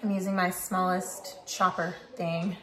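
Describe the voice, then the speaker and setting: A woman's voice: on the low side, flat delivery, measured pace, pushed and bright. A young woman in her home kitchen explaining a recipe step by step, upbeat and casual. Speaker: vlogger, trucker wife. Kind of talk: casual cooking vlog narration. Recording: echoey kitchen, faint hiss.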